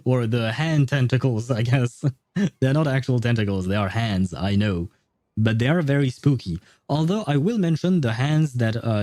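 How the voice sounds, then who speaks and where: A man's voice: mid-range voice, warm tone, no echo, clean studio sound. A man who is a non-native English speaker chats casually with his livestream viewers in a polite, hesitant, rambling way. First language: not English. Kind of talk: casual livestream chat with viewers over gameplay. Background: faint game music.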